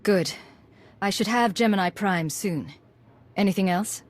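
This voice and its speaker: An actress playing a cool, composed female agent in a video game, speaking in a measured, businesslike way. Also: medium pitch, lightly acted.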